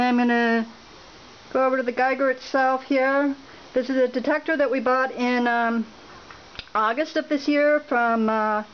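A fairly high-voiced woman talks haltingly to her camera at home, filling gaps with "uh" as she reads numbers off a screen. The sound is dull and lo-fi, picked up by the camera's built-in mic, with a faint hiss underneath. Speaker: home radiation monitoring vlogger. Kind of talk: unscripted on-camera walkthrough. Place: indoors at a home, beside a laptop.

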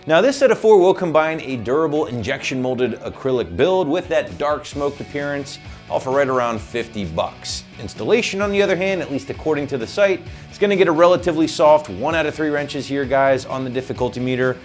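A man's voice: fairly high pitch, expressive, a little fast, faint music behind. A man in his thirties presenting a scripted product review in a studio, upbeat and salesy, talking to viewers as guys and gang. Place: studio set with branded backdrop.

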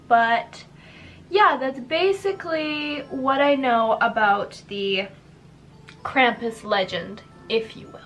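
A woman's voice: a little high, fairly bright tone, slight background noise. A young woman from Canada hosting her own channel, chatting casually and upbeat with lots of filler words while doing her makeup in a decorated bedroom. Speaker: YouTube host.

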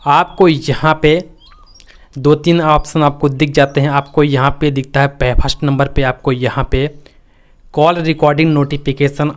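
Indian guy doing a tech how-to, slightly high voice, repeats his instructions step by step. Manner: repetitive, instructional phrasing. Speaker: tech tutorial host. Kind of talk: step-by-step phone tutorial narration.

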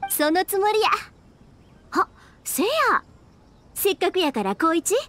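A woman voicing a cheerful, dependable young fireworks maker in an anime game, speaking a lively Kansai dialect. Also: very high voice, slow pace, full character voice. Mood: bright, upbeat.

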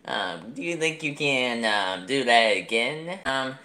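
A young adult man chatting off the cuff as an amateur voice actor, in a halting, self-interrupting way, recorded on a phone in a small room. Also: mid-range voice, expressive, sharp tone. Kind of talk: live voice acting practice, off-the-cuff chat. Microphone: phone at arm's length.

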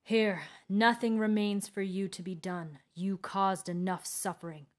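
A woman's voice: mid-range voice, steady tone, moderate pace, natural voice. A stern old wizard of the road, acted by a woman reading a fantasy tale. He speaks solemnly and with iron will, giving commands that expect obedience. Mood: stern, threatening. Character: stern old male wandering mage, righteous, iron-willed.

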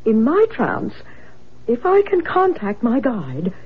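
An actress playing a sensitive, solemn spirit medium in a theatrical vintage radio drama style. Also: female voice, fairly high pitch, huge pitch swings, slow pace, slightly rough, exaggerated voice. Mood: gently assured. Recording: muffled, noisy old radio broadcast.

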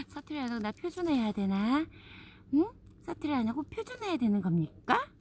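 A woman's voice: fairly high pitch, sing-song, normal speed, darkish tone, husky. A woman with a Gyeongsang accent chatting playfully to the camera while picking wild greens on a steep outdoor hillside. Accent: Gyeongsang dialect. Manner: chatty, playful, laughs often. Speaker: vlogger. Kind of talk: casual vlog chat while foraging.

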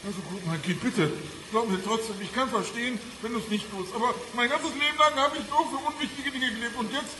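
A man's voice: very high voice, a little fast, hardly any pauses. An actor playing a trapped, desperate and sarcastic male captive in a radio crime drama, agitated and mocking. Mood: pleading. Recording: echoey room with faint noise.

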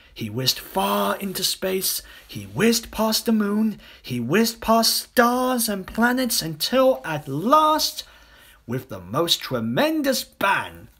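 Children's audiobook reader: man, slow and expressive, swooping intonation, theatrical. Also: high-pitched, husky. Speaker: audiobook narrator. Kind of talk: dramatic children's story narration.

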